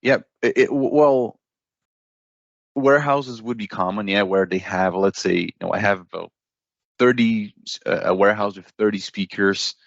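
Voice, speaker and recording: A man giving a conversational technical product explanation as an interview guest, often checking in with a quick "right?". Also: lowish voice, creaky, dry recording, dull audio. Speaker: product expert guest from a paging hardware company.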